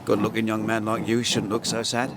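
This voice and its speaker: A man's voice: on the low side, completely flat delivery, a little fast, lightly acted. An actor playing a forward, insinuating male stranger in a period radio drama. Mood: familiar, insinuating. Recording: loud background noise, like a vehicle.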